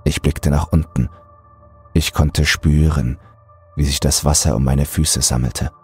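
A man narrating a scripted horror audiobook in a deliberate, brooding delivery. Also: a bass voice, steady tone, darkish tone, husky, soft background music.

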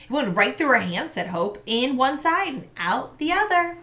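A woman in her thirties, a teacher, reading a children's novel aloud at home into a laptop webcam, lifting her voice for the characters' lines. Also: moderate pace. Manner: expressive, pitches up sharply for characters' shouted lines. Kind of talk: children's book read-aloud. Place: small home room indoors. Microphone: laptop webcam mic.

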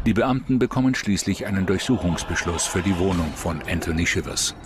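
A man narrates a true-crime documentary in a low-pitched voice. The delivery is scripted storytelling, and music plays clearly behind him. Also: animated, a little fast.

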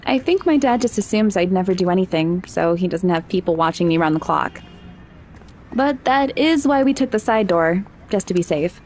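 A young woman playing a sheltered, curious rich teenage girl who speaks softly and hesitantly in an audio drama. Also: lowish voice, lively intonation, moderate pace, a little hiss or hum, natural voice. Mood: wondering.

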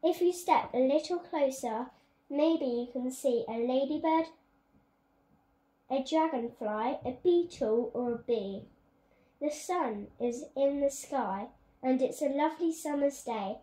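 A woman's voice: high voice, a little slow, warm tone. A young girl reading a children's picture book aloud on her bed, with chatty asides. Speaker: young reader. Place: bedroom, on a bed. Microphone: phone camera on a stand. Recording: echoey room.

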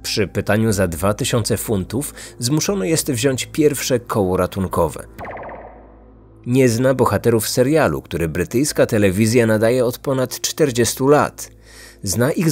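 Guy with a low voice telling a true crime podcast story, scripted, music behind. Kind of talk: scripted narration.

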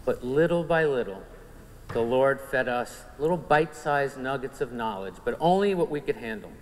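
A man's voice: medium pitch, animated, normal speed. A man in his forties with a Long Island New York accent, earnestly telling his personal faith story to a men's conference in a church. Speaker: conference speaker giving testimony. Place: large church sanctuary. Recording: church hall reverb, faint room noise.

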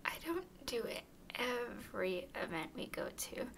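A woman playing a tall, clingy, playfully teasing young woman smitten with her friend, in an intimate, softly whispered ASMR roleplay. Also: a little high, big pitch swings, unhurried, heavily acted. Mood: tender. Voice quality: soft, breathy whispering. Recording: faint background noise.

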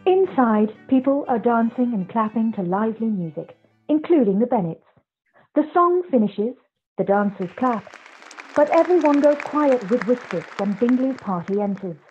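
A woman's voice: a little high, expressive, moderate pace, darkish tone, breathy edge, soft background music. A woman narrating a play from a script over a video call. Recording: dull, muffled video call audio.

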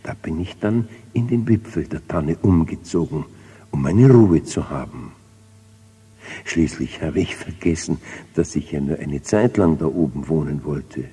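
An earnest, peace-loving little root gnome muses in a children's storybook, voiced by an older man. The voice is deep and very husky, with a reflective manner. Long reverb hangs around it on an old cassette recording. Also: a little slow, warm tone, full character voice.

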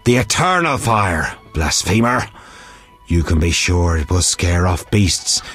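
A zealous old fire priest in a fantasy video game. The voice is low and solemn, preaching with stern scorn. Soft music plays underneath. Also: male voice.

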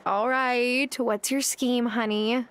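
A woman's voice: on the high side, steady tone, takes it slowly, a bit bright, smooth. A woman playing a sweet, playful young witch in love in a video game cutscene. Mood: affectionate.

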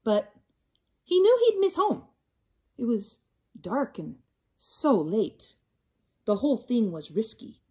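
A woman reading a rhyming storybook aloud to children in an expressive, theatrical, drawn-out way. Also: on the high side, breathy edge.

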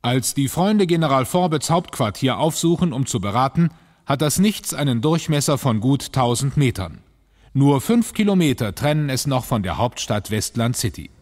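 A man's voice: medium pitch, expressive, moderate pace, darkish tone, in a large hall, close to an everyday voice. An actor as the grave male narrator of a scripted sci-fi adventure audio drama. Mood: grave, suspenseful.

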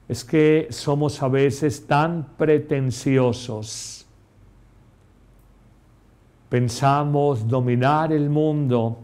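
Slow, emphatic middle-aged priest preaching. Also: male voice, medium pitch, darkish tone. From Colombia. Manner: slow, emphatic preaching, addressing the listener directly. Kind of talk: homily. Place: small chapel set, indoors.

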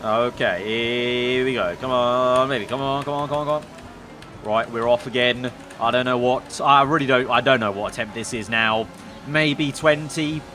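A young man commentating a racing game, excitable and slightly hoarse, breaking into shouts at crashes. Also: medium pitch. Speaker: gaming YouTuber.

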